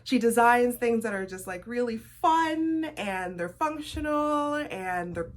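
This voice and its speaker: A woman's voice: a little high, expressive, slow. A woman from Trinidad talks casually as a podcast guest. She is chatty and giggles often, with lots of "like" fillers. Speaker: knitwear designer, guest. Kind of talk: casual interview chat.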